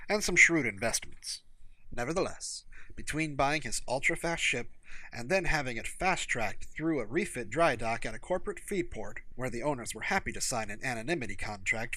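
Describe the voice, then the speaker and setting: Bright-voiced man narrating fiction, very lively intonation. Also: medium pitch, no room sound, poor audio. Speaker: audiobook narrator.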